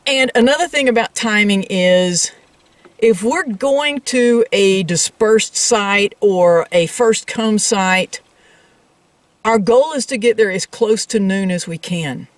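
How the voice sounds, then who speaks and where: A woman's voice: medium pitch, takes it slowly, dry recording, recorded from a distance. A woman co-hosting a travel vlog, explaining things in casual, conversational talk addressed straight to viewers. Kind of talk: casual explanatory chat to camera.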